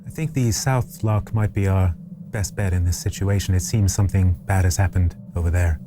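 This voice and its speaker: A man playing a mild, nervous, naively hopeful crewmate in a tabletop roleplay, polite and measured. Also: deep voice, fairly even intonation, background noise, lightly acted. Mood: concerned.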